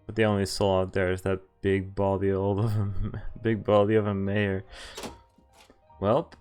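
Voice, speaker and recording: A man with a fairly low voice streams a visual novel, reading its narration aloud. His delivery is expressive but informal, open to offhand remarks. Faint music plays behind him. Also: a little slow. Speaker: game streamer. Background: game music.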